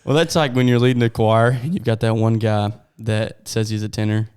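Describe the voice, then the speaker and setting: A man's voice: fairly low pitch, flat delivery, fairly quick, darkish tone, smooth. A man co-hosting a casual interview, chatty and telling stories with many "like"s. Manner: chatty storyteller, frequent "like". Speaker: podcast co-host.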